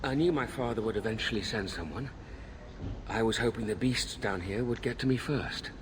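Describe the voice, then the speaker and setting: An actor playing a tormented, self-pitying murderer in a video game, pleading and full of guilt. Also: male voice, on the low side, moderate pace, close to an everyday voice. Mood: bitter despair. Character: tormented, guilt-ridden murderer, magistrate's son. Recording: noisy game ambience behind voice.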